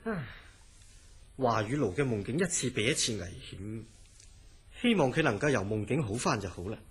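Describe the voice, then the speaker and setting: A man playing a composed, probing psychiatric hospital director in a horror radio drama, measured and authoritative. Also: mid-range voice, very animated, natural voice. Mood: musing, guarded.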